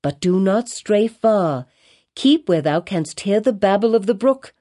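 A woman's voice: medium pitch, expressive, a little slow, dark tone. Woman reading as a grave, firm storybook mother. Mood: impatient. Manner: earnest, commanding, archaic phrasing. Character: grave, weary mother, firm with her child. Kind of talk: dramatized audiobook reading in archaic English.

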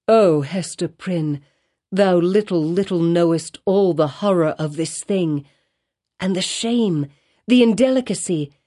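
A woman's voice: medium pitch, dark tone, close to an everyday voice. A woman voicing an anguished male minister in a storybook, speaking with solemn grief in old-fashioned formal speech.

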